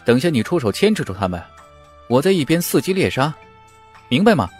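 A man voicing a quick-witted young martial hero who talks in a lively, urgent, bossy way. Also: on the high side, faint music behind, voice pushed up.

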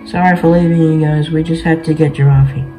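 A man's voice: fairly high pitch, fairly even intonation, a little fast, mellow, low-quality recording. A young male gaming host in casual commentary, with a laid-back, slangy way of talking. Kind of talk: casual gameplay commentary, reading cutscene dialogue aloud. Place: small bedroom, indoors. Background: game music.

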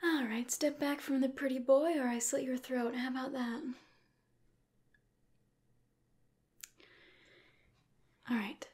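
A young woman playing a tough, sarcastic female rescuer in an ASMR roleplay, breathy, hushed and offhand. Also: high-pitched, fairly even intonation, moderate pace. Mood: sardonic, threatening. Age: 20s. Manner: sardonic, offhand. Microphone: close microphone.

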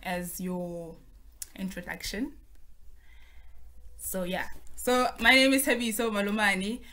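A woman in her twenties hosts a relaxed group chat over a video call, laying things out in an organized, friendly way. Her voice is bright and her pitch sits in the middle. She sounds like she is talking into a laptop mic from home. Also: slow pace. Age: 20s. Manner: friendly, organized, explains step by step. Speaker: host. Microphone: laptop or phone on video call.

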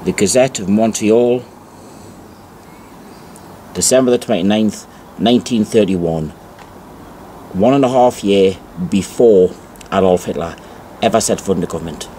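A man giving an informal show-and-tell narration, reading aloud deliberately and repeating phrases for emphasis, on an amateur home recording. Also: on the low side, mellow, slight background noise. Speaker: amateur video presenter.

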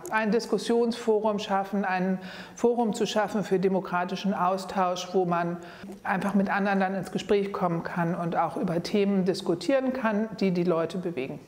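A woman's voice: medium pitch, fairly even intonation, normal speed, darkish tone. A middle-aged woman speaking in a documentary interview as the founder of a news platform. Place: indoor office room. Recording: long reverberant room echo.

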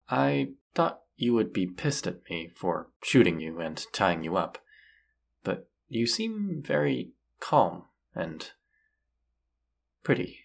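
A man playing a gentle, forgiving vampire hunter and lover in an intimate audio roleplay, speaking tenderly with hesitant pauses. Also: fairly low pitch, vocal fry, husky, exaggerated voice.

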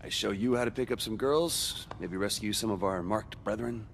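An actor playing a brash, wisecracking young American rebel with a sarcastic, casual way of talking in a game cutscene. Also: male voice, on the low side, normal speed, vocal fry, slight background noise. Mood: coaxing. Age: young adult.

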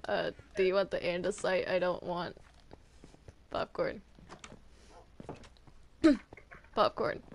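A person chatting casually while playing a video game with others, in a hesitant, halting way. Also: mid-range voice, fairly even intonation, vocal fry. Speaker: video game player in a group roleplay.

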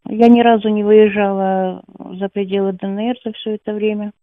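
A woman's voice: medium pitch, level tone, normal speed, no room sound. Woman w/ on a tinny phone call, dry sound, just talking unscripted. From Donetsk, eastern Ukraine. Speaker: caller, schoolteacher of Russian. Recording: tinny narrowband phone line.

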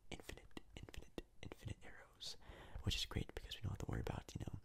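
A man giving soft-spoken whispered ASMR game commentary in a breathy, husky voice, rambling hesitantly and repeating words. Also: fast talker. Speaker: gaming video host.

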